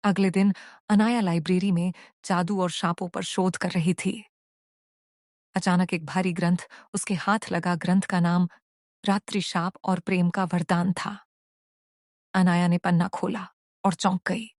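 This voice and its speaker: A woman storyteller reading scripted, dramatic narration for an animated tale. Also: lowish voice, a little slow, dry recording, studio quality.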